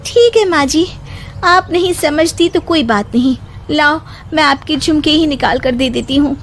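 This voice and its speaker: A woman playing a sharp-witted young daughter-in-law with a pert, emphatic manner in a cartoon moral story. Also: high-pitched, moderate pace. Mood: complaining. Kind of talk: animated moral story dialogue. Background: background music.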